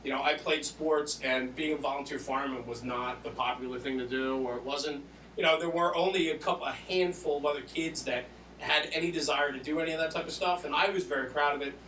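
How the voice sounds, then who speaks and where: A man's voice: on the high side, fairly even intonation, a little fast, bright, forceful tone, recorded from a distance, a little hiss or hum, rough recording. A man hosting an interview, telling personal anecdotes in a casual, colloquial way. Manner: casual, colloquial storytelling with reenacted dialogue. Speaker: podcast host and volunteer firefighter.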